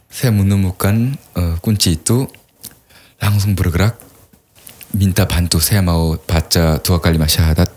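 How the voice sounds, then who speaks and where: A man's voice: deep voice, a little mellow, slightly rough. A man from South Korea speaking with a strong Korean accent, a podcast guest telling his story in an earnest, reflective way. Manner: earnest, reflective storytelling with a slow, careful recitation. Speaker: guest, YouTuber. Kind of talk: casual podcast conversation.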